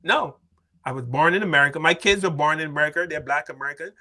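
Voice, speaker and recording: Bright-voiced man from Barbados hosting. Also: on the high side, fast talker. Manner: questioning, repeats "why isn't that enough?". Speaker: podcast host. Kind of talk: casual opinionated discussion.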